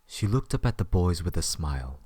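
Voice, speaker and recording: A man's voice: low-pitched, sing-song, a little fast, warm tone, husky, no echo, studio quality. A man narrating a scripted story as the narrator of an audio drama.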